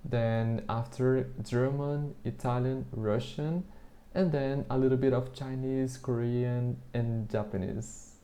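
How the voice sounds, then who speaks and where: A man's voice: mid-range voice, fairly even intonation, slow pace, mellow. A man whose first language is Portuguese, chatting in English as the interviewer, talking on and on while filling his sentences with "like" and small restarts. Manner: chatty, hesitant, leans heavily on the filler "like". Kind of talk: casual street conversation.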